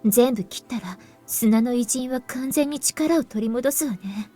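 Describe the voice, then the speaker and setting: Higher-pitched determined anime schoolgirl played by a woman. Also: brisk, warm tone, lightly acted. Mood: calm, hopeful. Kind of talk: Japanese visual novel voice acting. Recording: faint game music behind.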